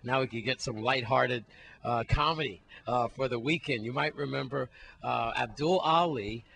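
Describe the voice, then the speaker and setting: A male TV reporter chats in a light, informal interview style. His voice sits at a middle pitch, with a sharp bright edge and plenty of gravelly creak. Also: normal speed. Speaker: TV news reporter interviewing a guest. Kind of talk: casual, lighthearted interview chat.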